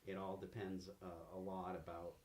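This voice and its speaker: A man narrating a casual tabletop game as game master, halting as he improvises his way along. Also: low-pitched, level tone, darkish tone, reverberant, slight background noise.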